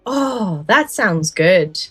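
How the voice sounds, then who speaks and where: A woman's voice: medium pitch, lively intonation, drawn-out words, husky, no echo, soft background music. A woman co-hosting a podcast, chatting casually with effusive enthusiasm. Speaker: podcast co-host. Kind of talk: casual chat about scary stories.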